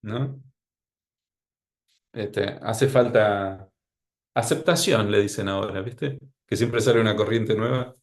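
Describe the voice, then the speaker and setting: Male psychologist guest with a Rioplatense accent, lowish voice, wry and anecdotal. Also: slow pace, long pauses. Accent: Rioplatense Spanish. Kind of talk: podcast interview.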